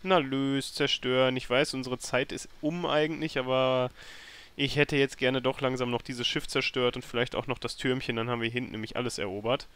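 A man's voice: mid-range voice, steady tone, a little fast, a bit bright, smooth. A man giving casual, thinking-aloud commentary on a game as a let's player, often grumbling and sarcastic. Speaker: let's player hosting a gameplay series.

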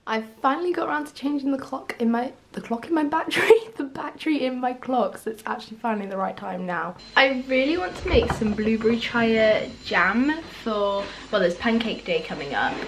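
A woman's voice: fairly high pitch, lively intonation, brisk, few pauses, a little hiss or hum. A young woman in her twenties chatting casually to a handheld camera in her home kitchen, with lots of filler words. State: croaky just-woken voice. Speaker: vlogger. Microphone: handheld camera at arm's length.

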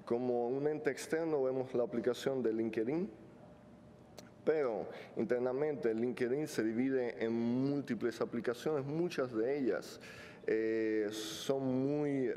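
A man's voice: slow, warm tone. A man in his thirties who is not a native speaker, giving a technical conference talk with many fillers and joking asides. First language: not Spanish. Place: large conference hall stage. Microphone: podium mic. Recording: hall reverb, faint rumble.

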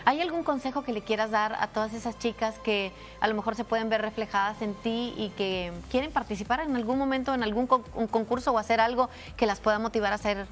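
A woman's voice: fairly high pitch, fairly quick, hardly any pauses. A middle-aged woman hosting a television studio interview, asking questions with hesitant fillers. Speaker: TV host. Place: TV studio set.

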